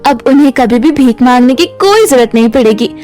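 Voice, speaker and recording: An earnest, kind-hearted young girl from a cartoon fairy tale, played by a woman, speaks in a high, emotive voice. Faint music plays behind her. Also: lively intonation, brisk, darkish tone. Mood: hopeful.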